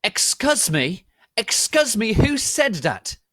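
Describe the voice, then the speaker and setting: Man putting on a shrill, irate old-school janitor. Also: sing-song, takes it slowly, a bit bright, no room sound, heavily acted. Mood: angry, suspicious. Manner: shrill, indignant demanding. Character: irate, shouting male school janitor on night patrol.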